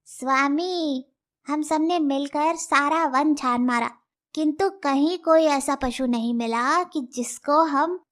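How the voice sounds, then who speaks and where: Scheming jungle sidekick, female actor: high voice, slow delivery, obsequious and persuasive. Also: fairly bright tone. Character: scheming, deferential jungle servant animals, crow and jackal.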